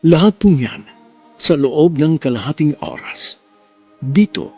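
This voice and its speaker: A man's voice: on the high side, lively intonation, unhurried, darkish tone, breathy edge. A Filipino man hosting a radio drama, delivering scripted narration in a theatrical, sentimental way. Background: music.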